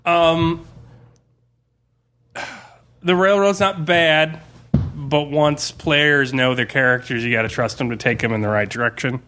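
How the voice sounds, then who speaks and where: A man's voice: fairly high pitch, fairly even intonation, measured pace, long pauses, sharp tone, smooth. A man co-hosting a podcast, chatting casually and informally as a game master about a tabletop campaign.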